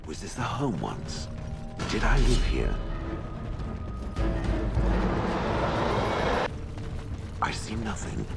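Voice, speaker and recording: Brooding stone golem from a game, really low gravelly voice, condescending. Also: male voice, exaggerated voice. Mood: musing. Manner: stilted, condescending, deliberate phrasing. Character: haughty, deep-voiced ancient stone golem, brooding and sardonic. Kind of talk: dramatic video game acting.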